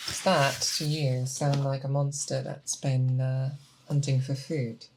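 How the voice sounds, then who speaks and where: A patient, methodical monster hunter, as an ASMR performer plays the part, mentoring an apprentice. The voice is mid-low and androgynous, with very slow, deliberate delivery that coaxes with questions. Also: level tone, a little mellow, a little hiss or hum, natural voice, rough recording. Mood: calm, focused. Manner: unhurried, deliberate, coaxing with questions. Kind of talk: ASMR roleplay, scripted character monologue.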